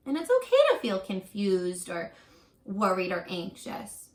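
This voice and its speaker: A woman teacher reading a picture book aloud to young children, expressive and encouraging, pausing for chatty asides and questions. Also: mid-range voice. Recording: roomy echo.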